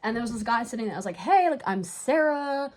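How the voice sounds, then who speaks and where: A woman's voice: a little high, animated, fast talker, a little mellow. A young woman with a New Zealand accent telling a story in a casual, chatty way, using informal slang as a vlogger. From New Zealand, from Dunedin.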